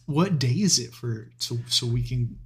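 A young man, co-hosting a podcast in casual chat, animated, laughing often and swearing. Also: mid-range voice, a little slow. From Texas. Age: 20s. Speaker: podcast co-host.

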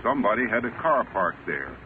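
Radio drama: male field agent, fairly low, clipped, dutiful, slightly noisy vintage recording. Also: very animated, fairly quick. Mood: dutiful, official. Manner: clipped, official. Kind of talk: old-time radio drama acting. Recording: tinny vintage radio recording, light noise.

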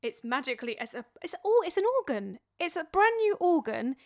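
A young pregnant woman with a Dartford accent, co-hosting a casual chat, teasing and quick to laugh. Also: sits high, very animated, a little mellow. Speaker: podcast co-host.

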